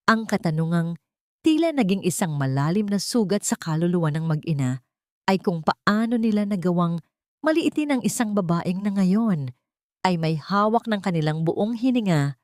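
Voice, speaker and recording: Low-voiced woman narrating a dramatic story. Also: darkish tone, dry recording, studio quality.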